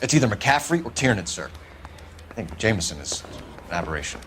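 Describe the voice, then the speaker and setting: An actor playing a stern, dogged young American police detective in a video game, clipped and formal, pressing with accusations. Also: male voice, lowish voice, very animated, fairly quick, a bit bright, a little hiss or hum. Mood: businesslike. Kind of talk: dramatic video game acting.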